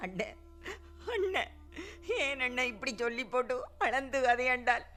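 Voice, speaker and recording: A woman's voice: sits high, a little slow, bright, forceful tone, faint music behind. An actress with a Sri Lankan Tamil accent plays a middle-aged sister in a radio drama, pleading with her brother and reproaching him.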